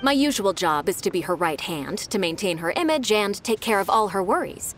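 An actress playing a devoted young secretary in a game, speaking in a brisk, earnest and enthusiastic way. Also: female voice, medium pitch, big pitch swings, slight background noise, natural voice. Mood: eager. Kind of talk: game voice acting.

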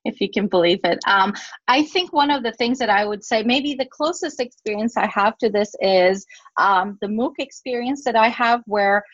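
A woman's voice: fairly high pitch, normal speed, no echo. Woman lecturing over a dull, dry call line. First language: Turkish. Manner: explanatory, fillers and self-corrections. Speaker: guest speaker, professor. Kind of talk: webinar talk and Q&A.

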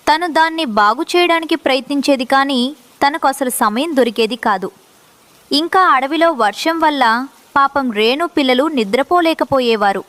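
A woman's voice: high-pitched, moderate pace, a bit bright, no room sound. A woman reading scripted narration for a children's story as the storyteller.

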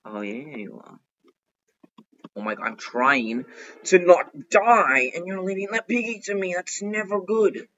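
A man giving excitable, chatty gameplay commentary as a gaming video host, talking to himself and often repeating phrases. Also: high voice, unhurried. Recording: dull, lo-fi microphone.

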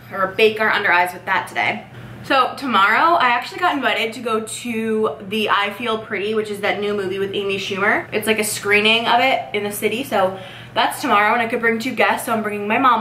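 A woman's voice: a little high, bright, forceful tone. A young woman in her twenties rambling casually while doing her makeup for a vlog in her bedroom, filling her talk with "like". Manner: rambling, filler-heavy, upbeat chatter while doing makeup. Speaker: vlogger. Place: bedroom, indoors. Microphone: camera mic at desk distance. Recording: echoey room with faint noise.

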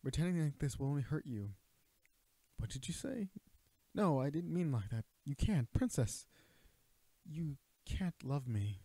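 A man's voice: on the high side, lively intonation, measured pace, dark tone, slightly rough, no echo, heavily acted. A man playing an earnest royal knight and bodyguard in a romantic audio roleplay, speaking formally and hesitantly to his princess. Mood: anguished. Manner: earnest, hesitant, stammering, formal courtly address. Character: young male knight, protective royal bodyguard, earnest and flustered. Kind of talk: romantic audio roleplay acting, addressing the listener.

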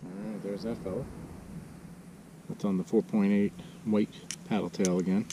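A middle-aged man filming himself fishing from a kayak on a lake, swinging between excited exclamations and muttered asides. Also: on the low side, moderate pace. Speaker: fishing vlogger. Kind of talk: casual fishing commentary. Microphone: action camera mounted on the kayak. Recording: dull outdoor action-camera audio with wind and water noise.

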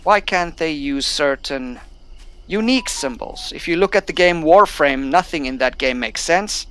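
A young man who learned English as a second language, narrating his gameplay casually. His voice sounds smooth and clear and sits slightly high. He reads the tutorial text aloud, then chats about the game. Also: lively intonation, normal speed, a bit bright. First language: not English. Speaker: gameplay streamer. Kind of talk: casual let's-play commentary.

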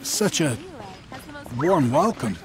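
A shifty young male merchant in a video game. His delivery swings widely in pitch, hesitant and evasive under a smooth front, with faint noise behind. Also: big pitch swings, slow. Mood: feigned charm. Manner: hesitant, stammering, falsely smooth. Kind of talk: video game character acting.

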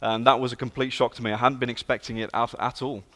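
A man's voice: medium pitch, fast talker. A man in his thirties with a London British accent, giving an earnest, storytelling guest sermon in a large church. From England. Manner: earnest, storytelling, lively emphasis. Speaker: guest speaker. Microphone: handheld and stage mic. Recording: long hall reverb.

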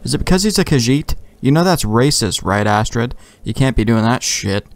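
A man's voice: medium pitch, expressive, moderate pace. A man giving casual, wry let's play commentary, joking and swearing.

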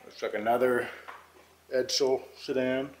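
A man filming a walkthrough of an old barn, narrating in a calm, matter-of-fact way with pauses, recorded on a handheld camera inside a metal barn. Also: mid-range voice, a little hiss or hum. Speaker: vlogger filming a barn find. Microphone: handheld camera mic.

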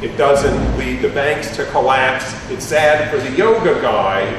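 A man's voice: mid-range voice, a little slow. Wry man on a distant room mic. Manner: analytical, wry, many fillers. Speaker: panelist. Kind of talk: panel discussion talk. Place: large room with panel table. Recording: echoey room from far off, noisy.

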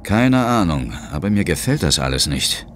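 An actor playing a resolute ghost hunter who tells his own adventure in a measured, suspenseful audio drama style. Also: low-pitched, very animated, a little hiss or hum. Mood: wary. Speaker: first-person narrator and lead character. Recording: loud dramatic music and effects behind.

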